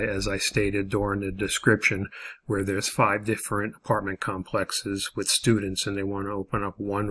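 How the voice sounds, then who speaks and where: A man's voice: on the low side, a bit bright. A man giving an informal video lesson as an instructor, explaining methodically step by step in a hesitant way.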